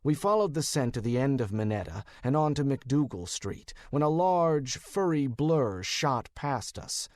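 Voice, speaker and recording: A man's voice: medium pitch, lively intonation, measured pace, hardly any pauses, darkish tone, no room sound. A man with an American accent reading wry first-person detective fiction as an audiobook narrator. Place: dry studio booth.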